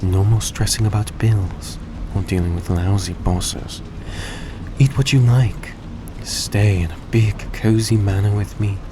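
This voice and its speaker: A man playing a courteous, gentle vampire in an intimate audio roleplay, speaking politely and a little hesitantly to the listener. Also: lowish voice, a little slow, lightly acted. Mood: reassuring, earnest. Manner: soft-spoken, polite, hesitant, formal phrasing. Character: courteous, gentle male vampire repaying a debt. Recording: steady background noise behind voice.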